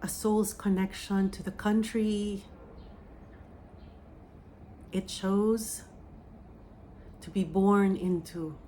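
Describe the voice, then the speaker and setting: A woman with a Filipino accent, a psychic medium giving an oracle reading and channeling a message in a slow, soft, meditative way. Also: medium pitch, steady tone, a little hiss or hum. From Philippines.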